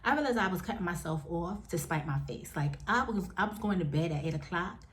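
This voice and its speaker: A woman in her forties chatting frankly to camera at home, addressing viewers as y'all and jumping up in pitch now and then. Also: fairly quick. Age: 40s. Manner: lively, with sudden jumps up in pitch. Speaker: vlogger. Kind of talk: candid casual chat to camera.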